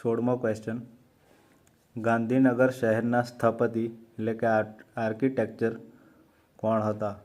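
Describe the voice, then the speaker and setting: A man with a Gujarati accent reads exam quiz questions in an even, unvarying tone. He moves through each option slowly and deliberately, at a middle pitch. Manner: slow, measured reading of options. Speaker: quiz host for exam preparation. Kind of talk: reading quiz questions and answers.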